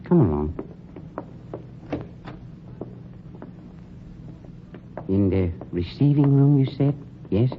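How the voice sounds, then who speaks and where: A man's voice: medium pitch, expressive, moderate pace, close to an everyday voice. An actor playing a polished, scheming funeral director who talks down to his night man, in 1940s radio drama style. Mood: smooth. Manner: smooth, condescending, sneering. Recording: dull, noisy old radio recording.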